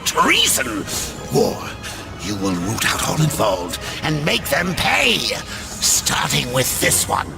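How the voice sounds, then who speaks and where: An actor voicing a cruel, scornful demonic taskmaster in a video game, sneering and commanding theatrically. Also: male voice, mid-range voice, wildly swooping pitch, slow, vocal fry, heavily acted. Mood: enraged. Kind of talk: video game villain acting. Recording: loud music under the voice.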